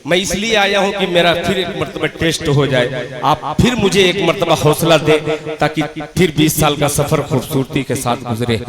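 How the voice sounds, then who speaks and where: A man, a poet reciting devotional verse on stage, chanting slowly and holding long notes into a microphone. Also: fairly high pitch, a crowd in the background. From India. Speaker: poet at a religious poetry gathering. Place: outdoor stage at a night gathering.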